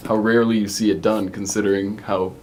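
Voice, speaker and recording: A man's voice: fairly low pitch, flat delivery, quick pace, darkish tone, slight background noise. A man, a member of a performance troupe, answering as a radio guest in a wry, self-deprecating way.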